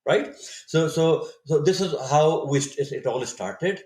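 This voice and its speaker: Native urdu speaker, man in his 50s, kinda high voice, thinking out loud with lots of restarts, echoey room. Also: slow pace. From Saudi Arabia. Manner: reflective, searching for words with frequent restarts. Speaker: podcast guest. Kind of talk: casual interview storytelling.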